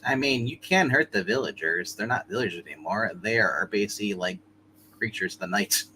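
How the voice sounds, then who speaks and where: Lively man with noisy audio. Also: on the low side, a little fast. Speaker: player in a roleplaying game. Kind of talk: casual game discussion. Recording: humming, clearly noisy voice chat.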